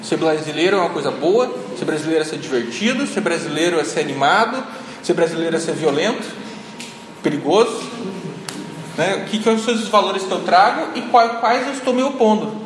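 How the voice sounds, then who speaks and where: A man's voice: fairly high pitch, expressive, a little fast. A man giving a classroom lecture, thinking aloud and tagging his sentences with frequent questions to the listeners. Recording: echoey hall, noisy ambience.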